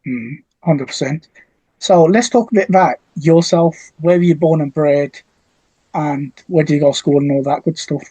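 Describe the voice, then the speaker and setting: A man's voice: fairly high pitch, moderate pace, warm tone, husky, no echo, rough recording. A man hosting a podcast, asking questions and giving short, encouraging replies. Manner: brief, encouraging prompts. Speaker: podcast host. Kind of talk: interview questions.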